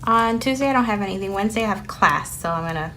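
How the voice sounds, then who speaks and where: A woman's voice: mid-range voice, fast. A woman whose first language is Spanish, chatting casually and rambling with many fillers as she decorates a planner. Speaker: planner vlogger. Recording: steady background noise with paper crinkling. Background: sticker and paper crinkling.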